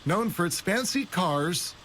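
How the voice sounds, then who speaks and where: A man's voice: sits high, measured pace, slight background noise. Polished male TV news reporter. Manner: polished, even news-reading delivery. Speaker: TV business reporter. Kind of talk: scripted news narration.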